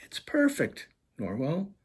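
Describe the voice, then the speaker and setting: A man plays a cheerful, eager female animal from a picture book, pitched up and husky. She speaks in a coaxing, exclaiming way, full of friendly enthusiasm. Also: wildly swooping pitch, takes it slowly, heavily acted. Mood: excited, cheerful.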